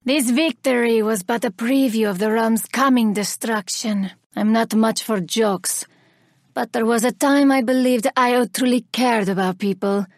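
Scornful doom herald reaper woman for a game, cold n haughty, higher voice, super dry recording. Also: a little slow, no echo. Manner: cold, haughty, theatrical delivery. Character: menacing, scornful female reaper herald, vengeful and doom-preaching. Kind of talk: video game character voice lines.

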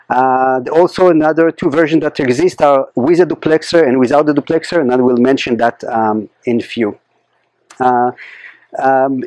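A middle-aged man, not a native English speaker, presents a casual hobby review outdoors. He speaks haltingly at a medium pitch, often stopping mid-sentence to find the next phrase. The sound is dry and close, with hardly any echo. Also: a little mellow. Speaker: ham radio channel host. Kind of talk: casual product review and setup explanation. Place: backyard lawn outdoors, by a house.

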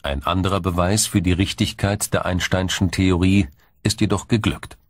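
A man reading scripted documentary narration in a measured, explanatory manner. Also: low voice, fairly even intonation, no echo, clean studio sound.

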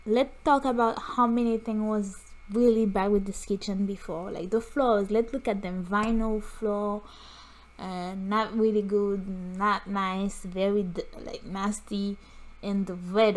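A woman's voice: mid-range voice, poor audio. A young woman from Montreal whose first language is French, casually narrating a tour of her apartment with many fillers and loose grammar. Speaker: vlogger giving a home tour. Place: small apartment rooms indoors. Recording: loud background music.

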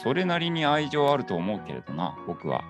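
Voice drama actor: gentle, easygoing cafe owner, mid-pitched, varied intonation, clear music behind him. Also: male voice, huge pitch swings, brisk. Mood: gentle, friendly. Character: easygoing, kindly male cafe keeper. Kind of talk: voice drama dialogue.

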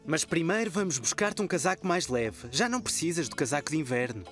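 An actor playing a patient, gently playful young father in a children's cartoon, speaking simply with a European Portuguese accent. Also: a little high, big pitch swings, brisk, faint music behind. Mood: patient, explaining.

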